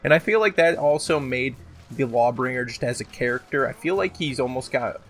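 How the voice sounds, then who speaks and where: A man's voice: medium pitch, lively intonation, a little fast. A man with a sore throat giving casual, rambling, unscripted countdown commentary with some swearing and slang. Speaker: video host, gaming commentator. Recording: loud music behind the voice. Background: music.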